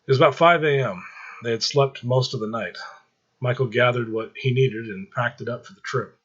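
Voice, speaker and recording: A man's voice: medium pitch, husky, lo-fi sound. A man reading fiction aloud as a story narrator.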